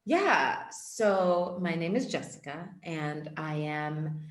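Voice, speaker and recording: A woman's voice: low voice, slow pace, echoey room. A young woman guest, an empowerment coach, talking casually and earnestly in a podcast interview, full of reflective filler words. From born in Portugal, raised in Ethiopia. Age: young adult.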